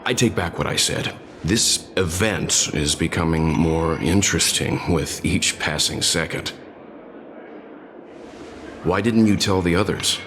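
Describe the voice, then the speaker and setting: An actor voicing a calm, wry older gentleman in video game dialogue, unhurried and dry. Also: sits low, slight background noise. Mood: calm, curious. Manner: unhurried and dry, with light chuckles. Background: game music.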